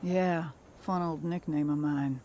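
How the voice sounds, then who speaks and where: A woman's voice: steady tone, takes it slowly, dark tone, a little hiss or hum. An actress playing a guarded, war-weary woman mech pilot in a video game, speaking in a terse, dry way. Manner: terse, low-key, dry. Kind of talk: video game cutscene acting.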